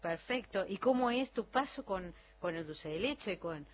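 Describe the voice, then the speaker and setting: A woman with an Argentine accent hosting a radio show in a lively, chatty and playful way. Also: medium pitch, unhurried, highs cut off. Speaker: radio host. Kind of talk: casual radio talk.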